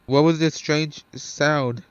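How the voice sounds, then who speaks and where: A male gamer with a smooth, fairly high voice talks casually through his play, thinking out loud in halting bursts. He is close to the mic in a dry, slightly dull recording. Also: fairly even intonation, no echo. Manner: hesitant, thinking aloud, profane. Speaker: gaming streamer. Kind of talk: casual let's play commentary.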